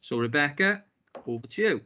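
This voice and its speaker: A man hosting an online business meeting, polite and filling pauses with "um" and "uh" as he restarts phrases. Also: on the high side, expressive, pushed and bright. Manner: polite, with frequent fillers and restarts. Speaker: business club host. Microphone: video call. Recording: dull lo-fi video call audio.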